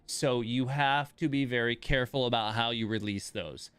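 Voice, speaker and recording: A man's voice: mid-range voice, moderate pace, a bit bright, smooth, no room sound. A man giving casual live game commentary as a streamer, thinking aloud in a laid-back way.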